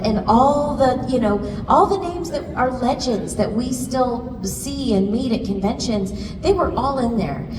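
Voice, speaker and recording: A woman voice actor on a convention panel, telling a lively story with quick laughs. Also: fairly high pitch. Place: large convention hall. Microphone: handheld mic, recorded from far off in the audience. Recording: echoey hall recorded from far off, noisy.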